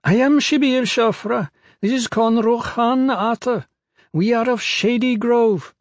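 A man puts on a high, feminine voice for a pygmy woman who leads exiles in a fantasy tale. She speaks formally and with stately gravity. The sound is dry, with no room around it. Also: a little slow, dry recording. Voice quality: high-pitched.

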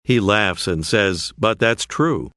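A man narrating a language course, calmly prompting the learner with phrases to say. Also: fairly low pitch, no echo, studio quality. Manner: slow, clear, prompting the learner. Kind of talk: scripted instructional narration.

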